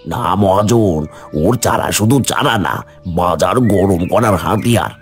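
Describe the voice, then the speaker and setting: Guy doing a deep voiced sneaky village henchman in a cartoon, jealous, sucking up, music behind. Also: slow. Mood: sly, conspiratorial. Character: scheming envious village henchman, servile to his boss. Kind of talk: cartoon story dialogue.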